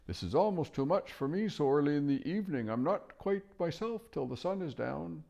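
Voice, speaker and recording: A man voicing a fussy, sleepy male owl with a soft hooting voice. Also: a little high, expressive, normal speed, creaky, dull audio, pitched above the performer's own voice. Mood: fretful.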